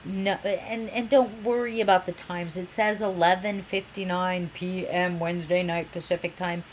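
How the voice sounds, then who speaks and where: A woman professor with a head cold talks through her course introduction, her voice a little nasal and somewhat low. She sounds chatty and enthusiastic. A faint hiss sits under the recording. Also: steady tone, normal speed. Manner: chatty, enthusiastic, self-described geek.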